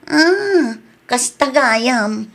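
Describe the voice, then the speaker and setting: A woman playing an earnest, expressive family mother in a radio drama, with an Ilocano accent. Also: sits high, very slow, full character voice. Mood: hopeful.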